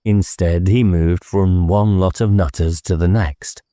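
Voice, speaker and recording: A man's voice: low-pitched, fairly even intonation, a little slow, warm tone, no echo. A man narrating a novel as an audiobook in a dramatic scripted style, recorded on a close studio microphone.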